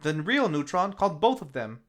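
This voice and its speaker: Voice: medium pitch, big pitch swings, fairly quick, fairly bright tone. A film review host narrating a scripted recap in a casual, playful storytelling manner.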